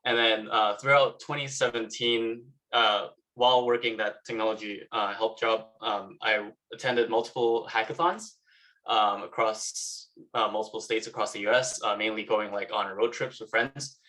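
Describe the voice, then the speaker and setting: A young man from Malaysia gives a casual talk over slides on a video call, halting often with fillers. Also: fairly low pitch, fairly even intonation, bright, forceful tone, rough recording. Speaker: alumnus speaker.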